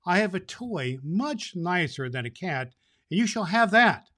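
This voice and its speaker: A man's voice: sits high, sing-song, unhurried, bright, forceful tone, heavily acted. A man voicing a kindly old toymaker who laughs and speaks soothingly in a storybook reading. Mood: gentle.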